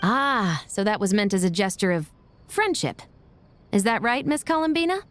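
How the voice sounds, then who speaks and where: A woman playing a brisk, composed young police captain in a video game, with a businesslike, dry manner. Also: medium pitch, big pitch swings, slight background noise, natural voice. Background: music.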